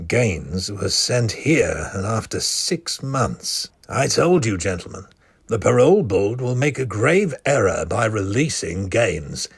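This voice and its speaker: Deep, gravelly, solemn doctor narrator. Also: male voice, very animated, heavily acted. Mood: grave, ominous. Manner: solemn, deliberate, ominous. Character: grave male asylum doctor narrating a grim tale. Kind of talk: scripted dramatic narration.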